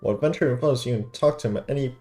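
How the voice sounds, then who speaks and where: A man's voice: mid-range voice, racing, darkish tone. A man giving casual commentary while he plays through a video game. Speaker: gaming streamer. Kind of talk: casual game playthrough commentary, reading dialogue aloud.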